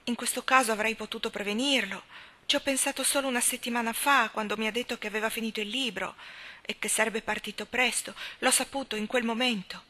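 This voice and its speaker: A woman's voice: fairly high pitch, fairly even intonation, fast talker, bright, forceful tone, pitched above the performer's own voice. A woman reading a story as an anguished, restless young woman who speaks in vehement, exclaiming bursts. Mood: ashamed, desperate. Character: anguished young woman confessing a hopeless secret love.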